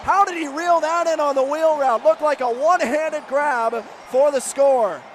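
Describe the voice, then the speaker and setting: Male sports radio announcer, very high voice, excitable football caller who can erupt into shouts, faint stadium noise. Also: unhurried, some chatter behind. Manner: excitable, bursts into shouting on big plays. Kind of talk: live football radio play-by-play commentary.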